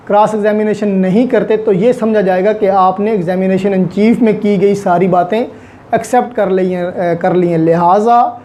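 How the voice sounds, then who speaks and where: A woman's voice: mid-range voice, dark tone. A woman with a Pakistani accent lecturing on law in a didactic way, mixing in English terms and repeating key points for emphasis.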